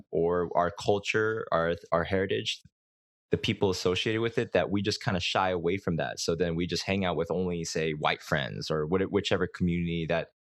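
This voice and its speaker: A man hosting a podcast, talking in a relaxed, reflective way and thinking aloud with frequent restarts. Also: low voice, dry recording, studio quality. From United States. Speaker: podcast host. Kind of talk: casual conversational interview.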